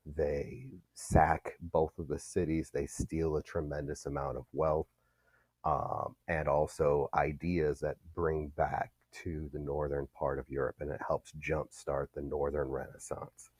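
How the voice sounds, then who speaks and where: Very deep-voiced male history teacher. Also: no room sound. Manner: steady explaining with fillers. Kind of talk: recorded classroom lecture.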